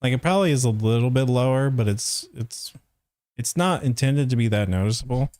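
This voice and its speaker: A man commentates casually, rambling and pausing as he thinks aloud. His voice is smooth and clear at an ordinary male pitch, captured close and dry on the microphone. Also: animated, a little mellow, no echo. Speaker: voice actor reviewing a fandub.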